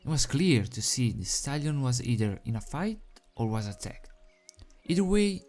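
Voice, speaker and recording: A man's voice: mid-range voice, sing-song, moderate pace, a little mellow. A man reading fan fiction aloud as an amateur storybook narrator. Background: soundtrack music.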